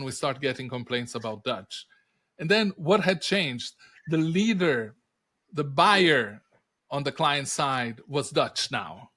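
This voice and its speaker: A middle-aged man with a Brazilian Portuguese accent, a native Portuguese speaker, answering interview questions in lively stories full of rhetorical questions. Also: mid-range voice. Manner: animated storyteller, rhetorical questions, laughs at own punchlines. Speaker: podcast guest, industry analyst.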